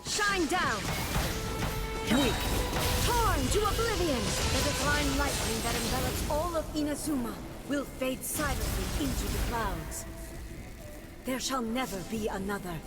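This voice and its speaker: High-voiced, commanding thunder goddess warrior. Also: female voice, over loud music. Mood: imperious, scornful. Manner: grave, commanding, formal, deliberate. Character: stern, solemn, ancient female thunder deity warrior. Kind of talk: dramatic English video game voice acting.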